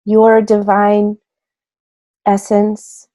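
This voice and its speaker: A woman leading a guided meditation in a gentle, soothing way, unhurried and pausing between short phrases. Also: medium pitch, monotone.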